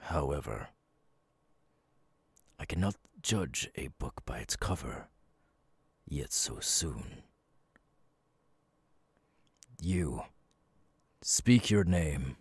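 A man voicing a menacing, imperious demon lord, cold and cruel, with a husky, breathy voice, in an ASMR audio roleplay. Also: rumbling low, lively intonation, no echo, exaggerated voice. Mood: cold, haughty, then stern, menacing. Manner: slow, deliberate, commanding, threatening.

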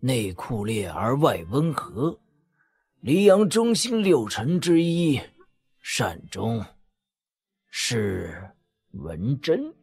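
An actor playing a proud, sentimental old strategist in an audio drama, declaiming slowly with deliberate pauses in a husky, gravelly voice. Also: male voice, mid-range voice, big pitch swings, darkish tone, exaggerated voice. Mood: solemn, measured. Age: older. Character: free-spirited old strategist, proud, sentimental, at life's end.

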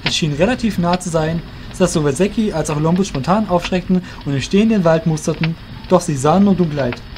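A man's voice: on the high side, quick pace, background noise. A man narrating a fantasy audio drama from a script.

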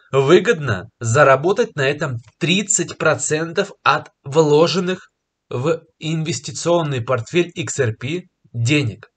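Slightly ill young man pitching crypto. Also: a bit bright. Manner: confident, persuasive, pausing with filler words. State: slightly ill, clearing throat and coughing. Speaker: crypto market commentator. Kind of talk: screen-share market analysis to camera. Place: small room indoors. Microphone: webcam in a corner of a screen recording.

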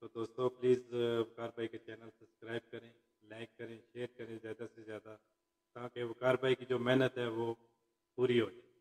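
A man's voice: mid-range voice, completely flat delivery, normal speed, darkish tone. A man in his thirties bantering as the sidekick on a prank phone call, at a studio mic. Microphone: shared studio condenser mic with pop filter. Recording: long reverberant echo.

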